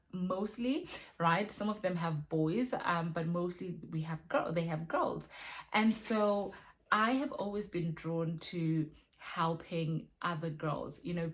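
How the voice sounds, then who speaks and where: A woman from Zimbabwe, a mother and women's ministry leader, chatting casually through a self-filmed Q&A, recorded on her phone, with many fillers and tag questions. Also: on the low side. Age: adult with children.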